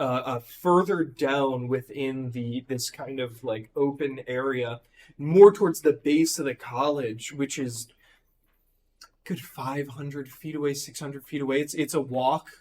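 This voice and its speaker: A hoarse man losing his voice, running a tabletop game and narrating with many ums and restarts. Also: mid-range voice, darkish tone. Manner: halting, full of ums and likes. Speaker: game master.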